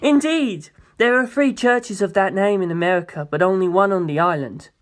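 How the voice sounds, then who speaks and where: A narrator voicing a suave, grandiose young male president with pompous, formal, drawn-out phrasing in an audiobook. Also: medium pitch, natural voice. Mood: pleased.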